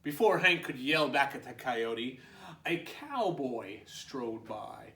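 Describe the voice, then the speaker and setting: A man's voice: medium pitch, sing-song, slow pace, bright, forceful tone. A man reading a children's picture book aloud as storytime host, expressively, with little asides to young listeners. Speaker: storytime reader, preschool teacher. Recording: roomy echo, distant microphone.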